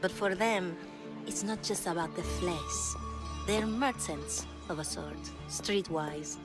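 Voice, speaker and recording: A woman's voice: on the low side, takes it slowly, over loud music. A woman playing a worldly, flirtatious streetwalker in a video game, sly and teasing. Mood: knowing, confiding.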